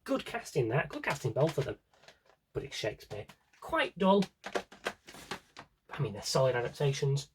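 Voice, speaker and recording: A man's voice: mid-range voice, wildly swooping pitch, moderate pace, pauses often, slight background noise. A man with a British accent chatting casually and wryly through a rambling show-and-tell as a vlogger, with self-deprecating asides. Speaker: vlogger showing a CD collection.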